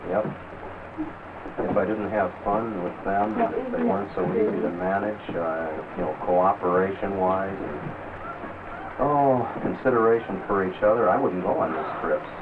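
A man's voice: low voice, moderate pace, noisy background. Earnest man on a tinny old film track. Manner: earnest, fond. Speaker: club sponsor teacher. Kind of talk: reflective interview.

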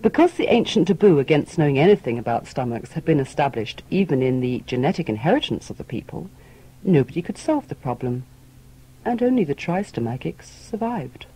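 Guy reading a story, soft dark tone, voice moves around a lot. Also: on the high side, big pitch swings, brisk, slightly rough, low-quality recording. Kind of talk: scripted audiobook storytelling.

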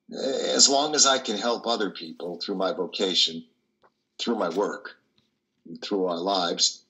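An older man playing a compassionate priest hearing confession, gentle and measured, in a play reading on a video call. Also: fairly low pitch, low-quality recording. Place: dim room indoors. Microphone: video call, close to computer.

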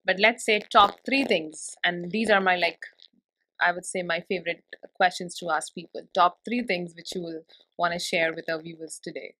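Woman podcast host; sharp bright tone, talkative, long rambling questions. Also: lowish voice, fairly even intonation, normal speed, rough recording. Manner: chatty, laughs easily, long run-on questions. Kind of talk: casual interview chat.

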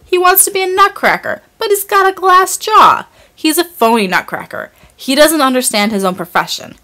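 A woman's voice: medium pitch, very animated, brisk, fairly bright tone. A woman voicing a bratty, quarrelsome young boy in a storybook reading. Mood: indignant.

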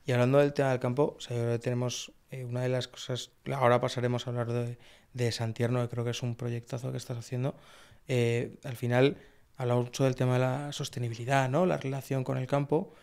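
A man's voice: medium pitch, flat delivery, brisk, vocal fry, a little mellow. A young man from Badajoz in Spain, hosting a podcast interview. He wears headphones, talks into a close studio mic and speaks in a laid-back, hesitant way with many fillers. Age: young adult. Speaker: podcast host. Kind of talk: casual interview chat. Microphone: broadcast mic on arm, wearing headphones.

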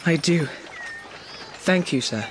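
An actor playing a humble, grateful newcomer in a fantasy audio drama, speaking politely and eagerly. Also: male voice, fairly high pitch, lively intonation, slow pace, a little hiss or hum. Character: humble, grateful second-born newcomer seeking work.

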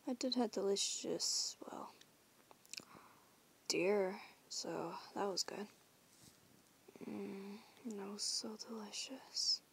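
A woman's voice: mid-range voice, takes it slowly, long pauses, a little hiss or hum. A woman voicing a teasing young female werewolf in an audio roleplay, whispering huskily and swearing casually. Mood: bored musing. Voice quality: whispery, husky.

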